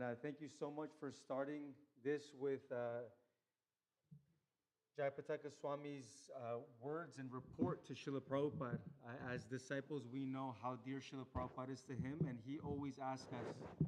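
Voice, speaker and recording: A man's voice: mid-range voice, fairly even intonation, normal speed, darkish tone, slight background noise. A man hosting a temple celebration, earnestly thanking and introducing speakers with frequent "uh"s. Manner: earnest, grateful, filled with "uh". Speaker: event host. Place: temple hall.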